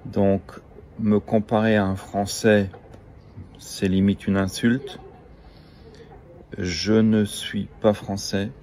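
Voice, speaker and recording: A man from Luxembourg giving a slow, deliberate and disdainful talking-head monologue. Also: lowish voice, flat delivery, darkish tone, a little hiss or hum. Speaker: social media influencer.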